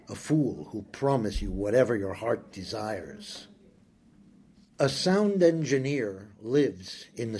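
A man reading a first-person story aloud, slow, brooding and intimate. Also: mid-range voice, darkish tone, husky. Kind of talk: scripted first-person audiobook narration.

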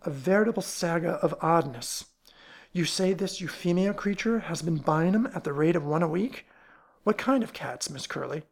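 A woman voicing a whimsical, urbane gentleman detective in a storybook reading, with wry, mannered phrasing. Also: on the low side, expressive, moderate pace, gravelly. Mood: intrigued.

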